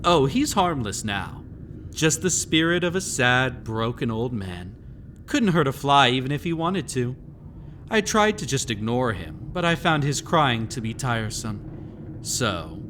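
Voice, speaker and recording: A man's voice: mid-range voice, big pitch swings. A mysterious keeper of a haunted house, played by a man, teases the listener with sinister glee. His mid-range voice stretches words theatrically, as in an immersive horror audio drama. There is audible background noise in the recording. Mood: gloating menace. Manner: teasing, drawn-out words, theatrical asides to the listener. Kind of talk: scripted immersive audio roleplay, storytelling.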